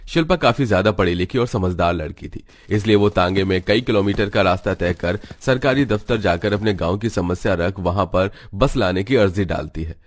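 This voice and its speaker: A man's voice: low-pitched, moderate pace, hardly any pauses, no room sound, clean studio sound. A man reading scripted narration for a cartoon story.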